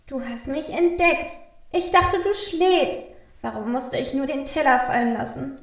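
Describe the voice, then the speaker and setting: A woman's voice: high-pitched, measured pace, reverberant. A woman playing a small, fretful, guilty male Christmas elf who stammers in a children's radio play. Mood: flustered. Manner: fretful, stammering, repeating exclamations of dismay.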